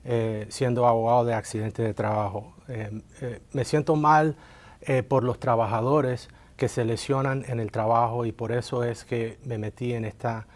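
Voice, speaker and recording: A man's voice: medium pitch, flat delivery, moderate pace. Hesitant man guest, Colombian-born, explains the law with frequent fillers. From Colombia, raised in Miami. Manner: hesitant, many filler sounds. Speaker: workers' compensation lawyer, guest. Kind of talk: interview answers.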